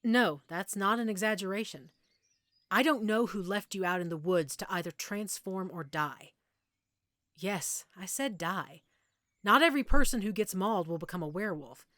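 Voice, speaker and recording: A woman's voice: mid-range voice, moderate pace, no room sound, natural voice. Woman playing a patient, reassuring werewolf mentor, close and dry. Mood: reassuring, compassionate. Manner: patient, gently explanatory, reassuring. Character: friendly, reassuring female werewolf pack member, patient mentor. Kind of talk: intimate audio roleplay, speaking directly to the listener.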